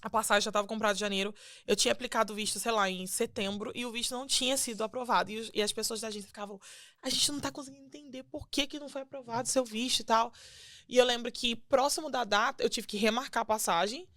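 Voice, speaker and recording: A woman's voice: on the high side, fast talker, no echo, studio quality. Earnest Brazilian woman sharing her faith story. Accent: Brazilian Portuguese. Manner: earnest, animated retelling with acted quotes. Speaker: podcast guest, singer. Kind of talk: casual testimony chat.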